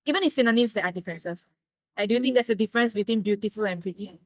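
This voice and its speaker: A young woman talks through her ideas in a thoughtful, measured way, like a guest in a relaxed interview. Her voice sits at an ordinary middle pitch. The sound is dry and close, with almost no room echo. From Malaysia. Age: young adult. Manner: thoughtful, explains in measured steps. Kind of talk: casual interview conversation.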